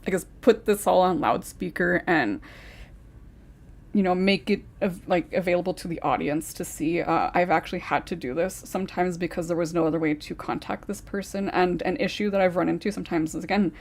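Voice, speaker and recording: A woman's voice: on the low side, steady tone, a little fast, darkish tone. A woman who writes scripts, chatting casually on a podcast and rambling with lots of fillers.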